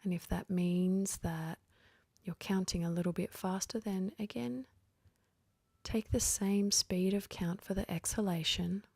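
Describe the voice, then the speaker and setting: A woman leading a guided sleep meditation in a gentle, whispery, half-voiced delivery, leaving long pauses between phrases. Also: medium pitch, fairly even intonation, vocal fry, husky, no echo, clean studio sound.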